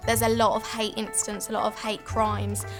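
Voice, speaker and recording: A woman's voice: mid-range voice, monotone, moderate pace, over music. A woman speaking matter-of-factly in a documentary interview.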